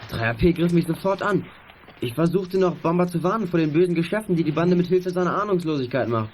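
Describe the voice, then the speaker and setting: A young man acting a clever schoolboy detective in a radio play, brisk and precise in his explanations. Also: on the high side, lively intonation, a little mellow, lightly acted. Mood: agitated, explaining. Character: Michael, a clever schoolboy detective, earnest and businesslike. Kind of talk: 1980s German children's radio play acting. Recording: faintly noisy 1980s audio drama tape.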